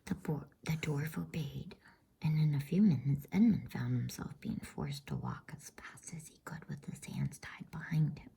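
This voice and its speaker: A woman reading a novel aloud as a bedtime story in a hushed, unvoiced ASMR whisper. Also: low-pitched, big pitch swings, normal speed, gravelly, slightly rough.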